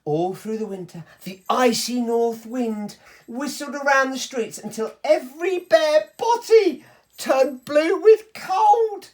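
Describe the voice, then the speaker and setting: A woman's voice: high-pitched, animated, slow, darkish tone. A woman reading a story to children in a theatrical, playful and emphatic way.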